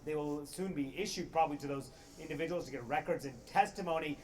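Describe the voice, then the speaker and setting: A man's voice: a little high, fast. A man delivering a live television news report as a correspondent. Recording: faint ambient noise, live TV report.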